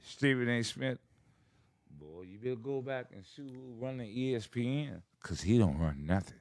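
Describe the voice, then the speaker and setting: A man in his late sixties, a coach and guest, talking in a with a boastful swagger, dropping low and then bursting out loud. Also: animated, normal speed, dry recording. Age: 60s. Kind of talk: casual chat.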